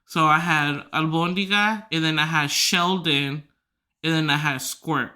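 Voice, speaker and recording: A man hosting a podcast who tells rambling stories loudly, swearing freely and filling his talk with "like". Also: a little high, steady tone, measured pace. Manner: loud, swears freely, filler-heavy rambling. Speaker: podcast host. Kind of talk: casual storytelling chat.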